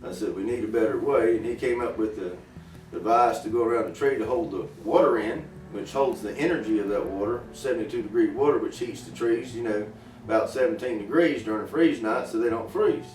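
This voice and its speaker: A man's voice: on the low side, a little fast, a little mellow. A middle-aged American man from Florida speaking as an interview guest, folksy, earnest and emphatic, telling stories in plain words. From Florida, USA. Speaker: interview guest, farm inventor. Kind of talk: radio interview, folksy storytelling. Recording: roomy echo, distant mic, faint music.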